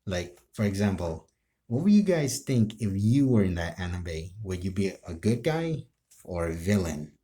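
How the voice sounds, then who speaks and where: A man's voice: low-pitched, sing-song, normal speed, mellow. A man talking casually and informally to viewers about his own role play, rambling a little. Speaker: amateur role-play video creator.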